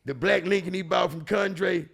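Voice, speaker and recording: Gravelly Houston man telling street stories. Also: sits high, normal speed, fairly bright tone. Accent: Houston, Texas. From Houston, Texas. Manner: slangy, profane, emphatic with repeated phrases. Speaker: storyteller and show regular. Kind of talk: casual street storytelling.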